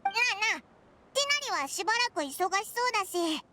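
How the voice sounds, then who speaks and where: A woman voicing a small, childlike flying companion in an anime game, cheeky and excitable, with blunt boyish speech. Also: fairly quick, slight background noise, full character voice. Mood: curious, excited. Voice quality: squeaky, childlike. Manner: blunt, exclamatory, rough casual speech. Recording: music behind the voice.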